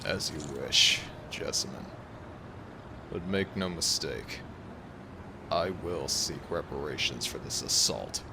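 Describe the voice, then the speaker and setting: A man voicing an arrogant, vengeful male rival werewolf in a dramatic roleplay audio, gravelly, theatrical and formal in his wording. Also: very deep voice, lively intonation, slow pace, long pauses, heavy background noise, full character voice. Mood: grudging, threatening.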